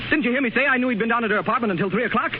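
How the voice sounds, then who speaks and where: An actor playing an exasperated, protective husband and uncle in a 1930s radio drama, impatient and emphatic. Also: male voice, very high voice, racing. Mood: defensive. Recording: muffled, noisy 1930s radio broadcast.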